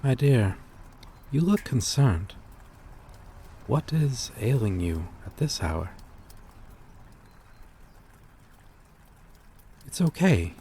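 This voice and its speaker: A man playing a courteous, melancholy vampire-like creature in an ASMR roleplay, speaking gently and intimately in formal, old-fashioned phrases. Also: mid-range voice, husky, heavily acted. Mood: tender, reassuring. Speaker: roleplay voice performer.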